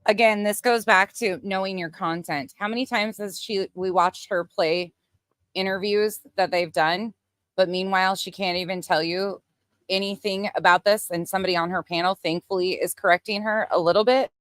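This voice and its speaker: A woman's voice: medium pitch, fairly even intonation, normal speed, a bit bright. A woman hosting a live reaction stream, with a blunt, combative, sweary way of talking. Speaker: livestream host.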